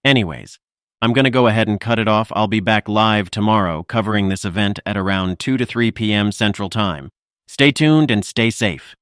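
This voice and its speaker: A man playing a composed weather livestreamer, giving measured, informal technical forecast commentary. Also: on the low side, fairly bright tone, clean tone, no echo, clean studio sound, natural voice. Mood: matter-of-fact.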